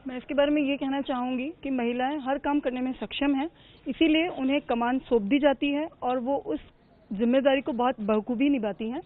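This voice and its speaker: High-voiced woman, phone-narrow sound. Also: steady tone, a little fast. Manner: earnest, mixes English phrases into Hindi. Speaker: air traffic control manager. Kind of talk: news interview answers.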